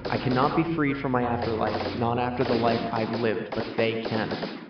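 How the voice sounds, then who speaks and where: An actor playing a grim phantom soldier in an audio drama, giving cryptic, ominous warnings in a measured way. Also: male voice, mid-range voice, steady tone, close to an everyday voice. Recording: echoing, distant, muffled, loud music behind.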